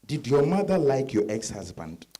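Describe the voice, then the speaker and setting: An actor with a West African accent playing a married suitor in dramatized novel dialogue, hesitant, coaxing and earnest. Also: male voice, medium pitch, sing-song, normal speed, mellow. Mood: insistent. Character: smooth-talking married suitor, hesitant yet insistent husband.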